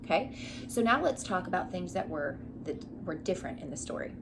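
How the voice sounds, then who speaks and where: A woman's voice: fairly low pitch, big pitch swings, brisk, gravelly, background noise. A young adult woman speaking as a teacher, giving an instructional story lesson for children and prompting listeners with questions. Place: small living room indoors. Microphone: camera a short distance away.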